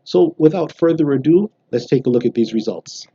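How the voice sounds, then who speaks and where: Canadian guy in his 30s vlogging at home, super mellow dark voice, kinda husky, dry slightly dull sound. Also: a little high, brisk, no echo. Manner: relaxed, explanatory, frequent um and restarts. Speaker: genealogy vlogger. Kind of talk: casual direct-to-camera vlog. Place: small bright room indoors, seated at home.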